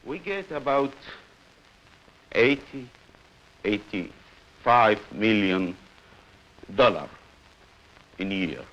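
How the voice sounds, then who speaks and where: A man giving interview testimony in halting, broken English fragments, on dull old newsreel sound. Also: lowish voice, animated, fairly bright tone. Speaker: anonymous interviewee, former militant official.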